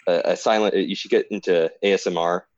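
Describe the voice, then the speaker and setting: A man with a very deep voice who talks quickly and easily, steering the chat along. The sound is dry and dull, from a lo-fi mic. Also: fairly even intonation, no room sound. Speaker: co-host. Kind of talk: casual chat.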